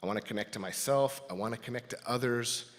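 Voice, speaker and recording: A man with a medium-pitched voice gives a personal reflection to a congregation. He speaks thoughtfully and haltingly, letting phrases trail off softly. The sound carries the long reverb of a large indoor hall. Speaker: lay speaker giving a church reflection. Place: large indoor church space.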